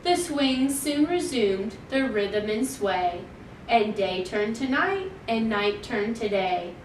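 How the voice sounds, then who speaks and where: A woman reading a rhyming picture book aloud to young children in a measured, deliberate storytelling manner. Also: lively intonation. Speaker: children's library storytime reader. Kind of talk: expressive picture-book read-aloud in rhyme. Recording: roomy, distant mic, faint noise and rumble.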